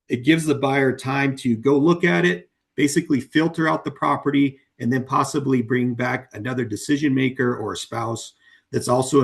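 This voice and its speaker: A man presenting a webinar as a company co-founder, walking through a software demo at an easy, unhurried clip. He keeps it conversational and fills the gaps with ums and you-knows. His voice has worn a little hoarse from talking so long. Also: mid-range voice. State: voice going hoarse. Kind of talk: casual walkthrough of a software demo.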